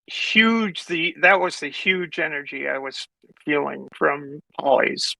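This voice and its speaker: Man, gravelly and bright voice, slow halting delivery, dry mic. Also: on the high side, no echo, poor audio. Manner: hesitant, halting. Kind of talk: casual spoken debate.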